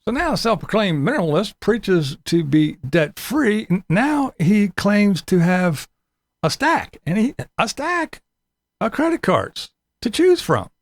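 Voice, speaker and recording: Sarcastic video commentator with gravelly fry and big pitch swings, recorded close and dry. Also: very animated, measured pace, dry recording. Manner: sarcastic, mocking. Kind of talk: mocking video commentary about another vlogger.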